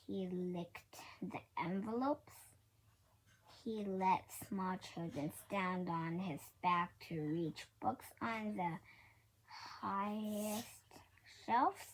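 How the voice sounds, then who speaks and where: A woman reading a children's book aloud in a halting way, often repeating and correcting her words. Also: mid-range voice, poor audio. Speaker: storybook reader. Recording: faint background noise.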